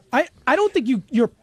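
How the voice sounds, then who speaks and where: A man's voice: very high voice, breathy edge, dry recording. A man in casual studio banter with a blunt way of talking.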